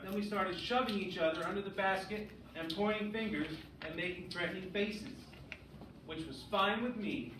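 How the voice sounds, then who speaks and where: A man teaching a grade school English class, often checking understanding with short questions. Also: on the high side. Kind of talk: classroom lesson with read-aloud. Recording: echoey, noisy room, distant mic.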